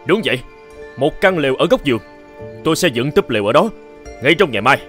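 An actor playing a bitter husband in a cartoon folk tale, speaking in an emphatic, dramatic way. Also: male voice, medium pitch, lively intonation, fast talker, fairly bright tone, soft background music, close to an everyday voice. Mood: cold, bitter. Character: bitter, cold husband who turns terrified and begging. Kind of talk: Vietnamese cartoon voice acting.

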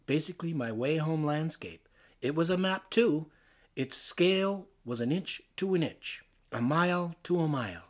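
A Canadian man from Ontario reading poems aloud, expressively and theatrically, with deliberate phrasing and pauses. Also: on the high side. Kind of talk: expressive dramatic poetry reading.

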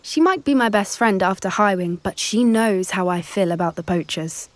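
A woman's voice: mid-range voice, moderate pace, no echo, lightly acted. A young woman with a British accent playing a caring, earnest teenage witch in a video game, speaking in a confiding way. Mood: earnest, concerned. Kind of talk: video game cutscene acting.

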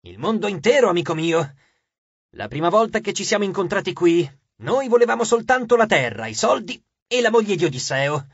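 Man doing a ghost villain, domineering, raspy, loud, dry. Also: high voice, very animated, a little fast, dry recording, muffled recording, heavily acted. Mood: mocking. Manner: mocking, domineering. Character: scornful ghost suitor, sharp-toothed, yellow-eyed man.

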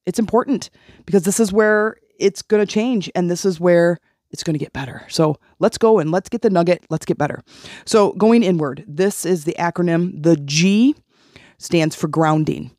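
A woman's voice: on the low side, moderate pace, darkish tone, no room sound. Earnest woman coaching in a dry close voice. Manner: earnest, encouraging, repeats phrases for emphasis. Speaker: podcast host and life coach. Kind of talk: motivational solo podcast talk.

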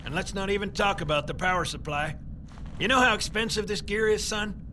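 An actor playing a fussy armor technician in a video game, complaining about damaged gear. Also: male voice, high-pitched, fairly quick, bright, forceful tone, slight background noise. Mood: exasperated.

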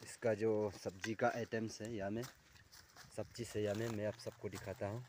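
A man's voice: fairly low pitch, flat delivery, a little mellow, a little hiss or hum. A young man casually explaining his cooking to viewers, speaking into a close phone camera outdoors on a forested hillside. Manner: plain, unhurried explaining, addresses viewers directly. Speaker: cooking vlogger. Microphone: phone camera held close.

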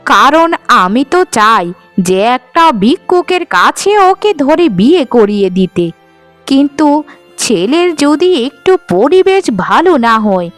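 A woman's voice: high voice, a bit bright, no echo, heavily acted. A woman voicing a cruel, scheming stepmother in a cartoon, with harsh, scornful scolding. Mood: calculating. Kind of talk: melodramatic Bengali cartoon dialogue.

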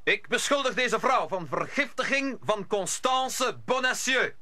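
An actor playing a fiery young musketeer, formally accusing, in an old Dutch radio play. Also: male voice, extremely high pitch, lively intonation, a little slow, bright, forceful tone, heavily acted.

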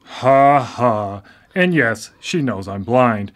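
A man's voice: medium pitch, expressive, slow pace, fairly bright tone. A man playing a stammering newly blind man. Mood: awkward, wry. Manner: hesitant and stammering, wry humor. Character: newly blind man, awkward, self-deprecating. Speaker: lead character in a radio drama. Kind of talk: live radio drama acting. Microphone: studio microphone.

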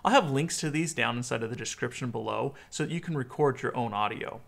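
An American man in his thirties giving a clear instructional explainer as a video host. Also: mid-range voice, fast, a bit bright.

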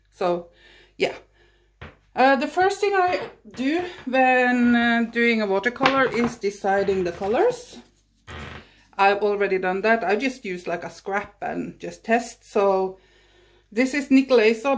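A woman with a Norwegian accent, a native Norwegian speaker, chatting casually through a watercolor painting demonstration while she paints, recorded on a phone, self-deprecating and laughing often. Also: a little high. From Norway. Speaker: watercolor artist. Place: indoors at a painting table.

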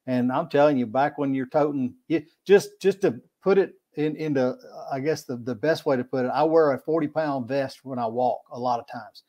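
A man's voice: medium pitch, normal speed, few pauses, warm tone. Middle-aged man, podcast guest over video call, rambling, folksy, repetitive. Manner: rambling, repeats words, folksy. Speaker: podcast guest, kayak angler. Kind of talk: casual video-call interview. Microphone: video call webcam mic.

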